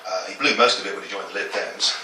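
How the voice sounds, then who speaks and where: A man doing a topical stand-up set in a small comedy club, self-deprecating and stumbling into quick asides. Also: sits low, bright, forceful tone, slight background noise. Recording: echoey club from a distance with chatter.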